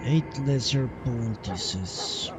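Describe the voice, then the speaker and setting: A man's voice: mid-range voice, very slow, very noisy. A man giving casual live game commentary, muttering to himself and swearing freely. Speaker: game streamer. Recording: loud game music behind voice. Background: game music and sound.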